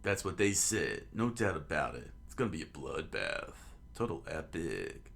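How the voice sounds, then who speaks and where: A man's voice: very deep voice, very animated, normal speed, creaky, a bit bright, slight background noise, heavily acted. Man as a laid-back young pirate dude. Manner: slack, easygoing.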